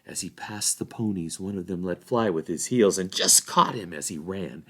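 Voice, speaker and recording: A man reading a fantasy storybook aloud as an expressive narrator. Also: low-pitched, normal speed. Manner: acts out dialogue with changed voices. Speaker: volunteer story reader.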